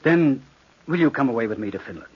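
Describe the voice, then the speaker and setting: A living shadow passing as a prince in a vintage radio horror play. His husky voice is suave and courtly with a cunning, sinister edge. The recording is old and dull. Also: mid-range voice, animated, quick pace. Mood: silky menace. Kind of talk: old-time radio drama acting.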